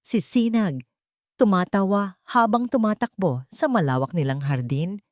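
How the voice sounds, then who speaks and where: A woman narrating a scripted drama in short, measured, emotive phrases. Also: medium pitch, expressive, a little mellow, dry recording, studio quality.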